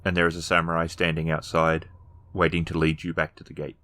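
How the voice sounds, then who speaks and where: A man narrating a tabletop game as game master, improvising descriptions in halting phrases. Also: very deep voice, monotone, bright, forceful tone, no echo.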